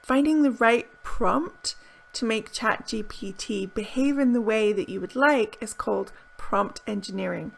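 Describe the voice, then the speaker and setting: A woman teaching to camera with a bright, upbeat delivery, every word clearly enunciated. Her voice sits a little high and has a gravelly fry at the ends of phrases. She sounds close to the mic in a small indoor room. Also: a little slow. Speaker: English teacher. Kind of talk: scripted video lesson.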